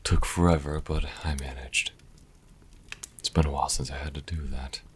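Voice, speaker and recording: A man's voice: a bass voice, steady tone, a little hiss or hum. A man playing a sassy vampire and reluctant caretaker in an audio roleplay, speaking dryly and sardonically to the listener. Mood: dry, calm. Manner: dry, sardonic, unhurried. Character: sassy male vampire, former thrall, grudging caretaker.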